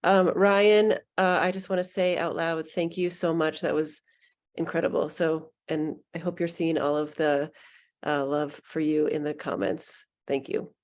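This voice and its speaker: A woman's voice: low voice, steady tone, unhurried, darkish tone. A woman hosting an online public meeting over a video call, upbeat and chatty with some ums. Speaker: meeting host.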